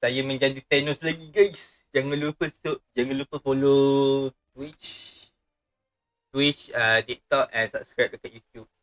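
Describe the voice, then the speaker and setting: A man's voice: medium pitch, fairly even intonation, normal speed, a bit bright, rough recording. Playful young Malaysian man livestreaming. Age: young adult. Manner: playful, jokey. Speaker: game livestreamer. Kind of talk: casual livestream chat.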